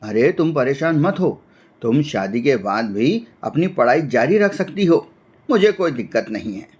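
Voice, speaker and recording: A man's voice: mid-range voice, big pitch swings, normal speed, a little mellow, husky. A man voicing a caring, earnest young crow husband in a cartoon story, with a reassuring manner. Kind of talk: Hindi cartoon story acting.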